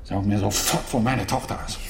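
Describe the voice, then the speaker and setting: A man's voice: on the low side, a little fast, vocal fry, noisy background. An actor playing a suspicious, coarse businessman father in a radio play, curt, sarcastic and foul-mouthed. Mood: menacing. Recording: echoey stairwell acoustic.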